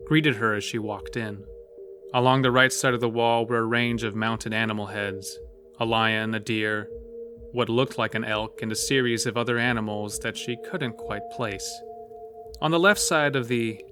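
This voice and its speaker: A man narrating a scripted horror story, with a mid-range voice. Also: medium pitch.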